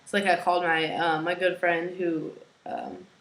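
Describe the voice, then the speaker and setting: A young adult woman with a raspy voice, talking casually to camera in a hesitant, rambling way at home, with many fillers and moments that drop to a near whisper. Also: lowish voice, little pitch movement, moderate pace, a bit bright. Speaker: vlogger. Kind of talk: casual personal video diary. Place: at home, indoors. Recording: roomy, echoey room.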